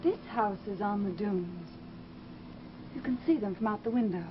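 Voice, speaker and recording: An actress playing a gentle, guarded young woman in an old-time radio drama, polite and pleading. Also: medium pitch, normal speed, noisy background, natural voice. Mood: guarded, soothing. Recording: muffled old radio recording, music behind.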